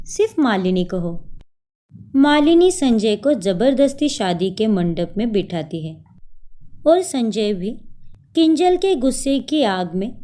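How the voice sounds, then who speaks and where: Slow, mellow female storyteller. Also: on the high side. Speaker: narrator. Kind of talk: scripted story narration.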